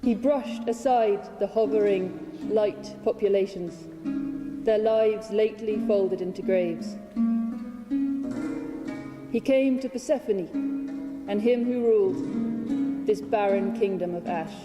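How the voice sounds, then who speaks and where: Slow woman reading poetry in a reverberant hall. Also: medium pitch. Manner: measured, deliberate, with weighted pauses. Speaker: reader of own poetry translation. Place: large hall at a public reading. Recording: reverberant hall with plucked guitar music. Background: plucked string music.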